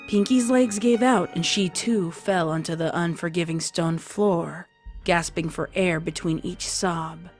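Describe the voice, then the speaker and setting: A woman's voice: fairly low pitch, measured pace, a little mellow, faint music behind. A woman reading a scripted story as a dramatic narrator.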